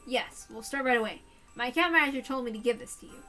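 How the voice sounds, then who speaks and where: A woman voicing a courteous, flattering male gardener, her voice hoarse. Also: fairly high pitch, fast talker, faint music behind.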